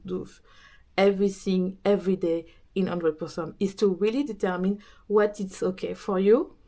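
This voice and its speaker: Woman with a French accent, halting. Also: medium pitch, a little mellow. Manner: halting, searching for words, frequent restarts. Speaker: podcast host and business coach. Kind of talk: casual talk to camera.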